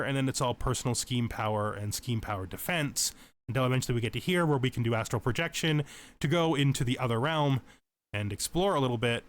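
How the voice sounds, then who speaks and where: A man giving casual tutorial commentary over a video game, explaining things as he thinks aloud. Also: mid-range voice.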